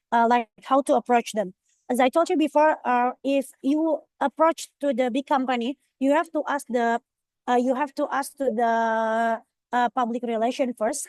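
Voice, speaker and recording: A woman's voice: a little high, a little mellow, dry recording. A young woman from Indonesia with an Indonesian accent, giving an online orientation presentation with many fillers and restarts. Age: young adult.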